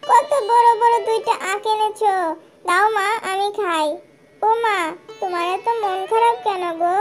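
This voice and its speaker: A woman's voice: extremely high pitch, steady tone, unhurried, faint music behind. A woman voicing a sweet, eager, clever little girl fox in a children's cartoon. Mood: curious, caring.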